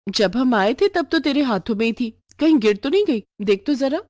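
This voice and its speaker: A woman's voice: high-pitched, lively intonation, moderate pace, darkish tone, dry recording, studio quality, pitched above the performer's own voice. A woman voicing a nosy, suspicious female party guest in a moral storybook tale, pushy and exclamatory. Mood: alarmed, suspicious.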